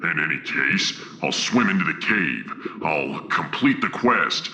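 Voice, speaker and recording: A deep, gravelly alien warrior, proud and bombastic, with a forceful edge. He speaks as an earnest, loyal sidekick in a sci-fi audio drama, his voice ringing with echo. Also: animated, a little slow, slight background noise, full character voice. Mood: boastful. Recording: echoey cave-like reverb.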